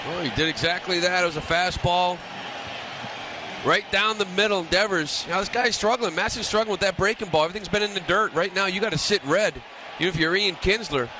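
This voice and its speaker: A man's voice: high voice, brisk. A man giving informal color commentary on a radio baseball broadcast, talking colloquially with slang and nicknames. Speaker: color analyst. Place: ballpark broadcast booth. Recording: radio broadcast with loud ballpark crowd noise.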